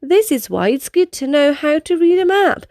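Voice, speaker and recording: Storybook bear, female, done by a woman reader, high lilting voice, patient, practical, instructive friend. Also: big pitch swings, normal speed, darkish tone, no room sound, studio quality. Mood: patient explaining.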